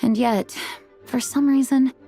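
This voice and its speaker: A woman's voice: high voice, steady tone, warm tone. An actress playing a dutiful, composed knight leader in a fantasy game, speaking formally with music behind her. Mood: pensive. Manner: formal, measured phrasing. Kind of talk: fantasy video game acting.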